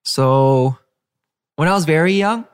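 Soft-spoken young man, dry close sound. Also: a little high, unhurried, clear, resonant tone, no echo. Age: young adult. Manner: soft-spoken, reserved, hesitant with many fillers. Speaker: K-pop group member, guest. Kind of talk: casual interview chat.

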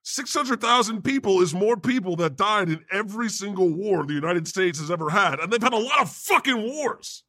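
A man's voice: high-pitched, lively intonation, normal speed, hardly any pauses, pushed and bright, dry recording, clean studio sound. A man co-hosting a casual comedy podcast, talking in a sarcastic, profane, opinionated way. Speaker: podcast co-host. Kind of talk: casual comedic panel talk.

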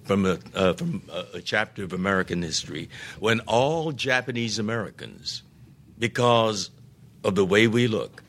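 An older man speaking as a podcast guest, earnest and deliberate, saying his voice is going. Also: fairly low pitch, a bit bright. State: losing his voice. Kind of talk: interview conversation.

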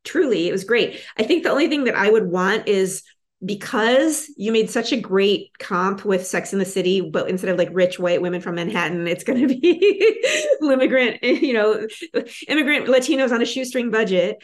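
A woman hosting and giving enthusiastic, rambling feedback on a pitch with many fillers. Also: animated, moderate pace. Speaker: host and pitch advisor. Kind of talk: casual feedback chat.

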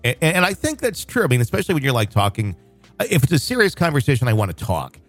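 A man's voice: mid-range voice, huge pitch swings, fast, a bit bright, faint music behind. A man hosting a casual podcast, rambling with fillers and restarts. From northwest Arkansas, USA. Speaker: podcast host.

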